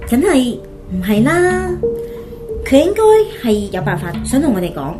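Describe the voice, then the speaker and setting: A woman's voice: a little high, big pitch swings, normal speed, over music. A woman playing an eager, coaxing wife in a radio drama, chatty and persuasive. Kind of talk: Cantonese radio drama acting.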